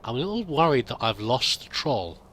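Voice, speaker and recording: A man's voice: medium pitch, sing-song, a little fast, a bit bright, slight background noise. A man giving in-character let's play commentary, musing aloud and muttering to himself as he goes. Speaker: host of a roleplay let's play.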